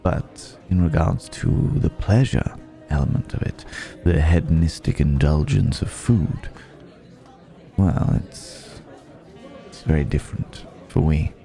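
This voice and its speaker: A man playing a suave, courteous vampire in an intimate ASMR roleplay, speaking in a refined, formal, gently amused way with lingering pauses. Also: rumbling low, creaky, soft background music, heavily acted. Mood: reflective, confiding. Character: suave, courteous male vampire dining with a mortal guest.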